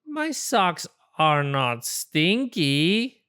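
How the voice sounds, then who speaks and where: A storybook reader voicing a worried, exasperated little rabbit, protesting with expressive emphasis. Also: medium pitch, drawn-out words, clean tone, full character voice.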